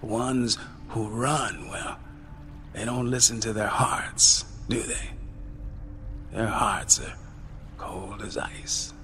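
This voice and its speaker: A man voicing a weary, grim older uncle who works a gold mine, speaking low and grave in an audiobook. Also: slow pace, long pauses, over music. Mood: menacing.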